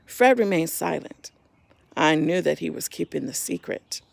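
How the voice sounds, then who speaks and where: A woman reading a children's story aloud in an expressive, simple storytime manner, talking directly to young listeners. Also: low voice, a little slow, gravelly, no echo.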